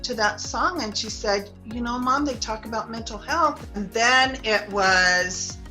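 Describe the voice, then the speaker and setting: A woman with a high, bright voice tells her story slowly and earnestly. Faint music plays under her. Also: low-quality recording. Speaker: mother and fan in a video clip.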